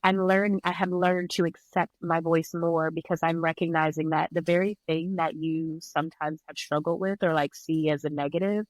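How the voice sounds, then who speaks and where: A woman's voice: deep voice, fairly even intonation, normal speed, hardly any pauses, no room sound. A woman speaking as an interview guest, reflective and conversational, with an earnest, encouraging way of talking. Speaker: podcast guest, breathwork and yoga instructor.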